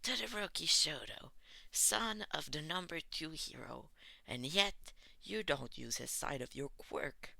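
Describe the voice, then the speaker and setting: A man's voice: on the high side, animated, unhurried, vocal fry, sharp tone, no echo, pitched above the performer's own voice, exaggerated voice. A man playing a menacing, possessive young villain leader who mocks and taunts in a story reading. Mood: taunting. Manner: taunting, wicked, easily annoyed.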